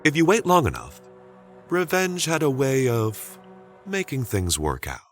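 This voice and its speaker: A man narrating a scripted dramatic story deliberately, with suspenseful pauses. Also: medium pitch, wildly swooping pitch, loud music behind the voice.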